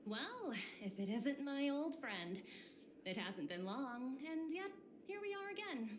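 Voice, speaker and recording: A woman's voice: sits high, big pitch swings, unhurried, a little hiss or hum. An actress playing an elegant, worldly businesswoman in a space fantasy video game, with a smug, playful and insinuating manner. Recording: music playing over the voice.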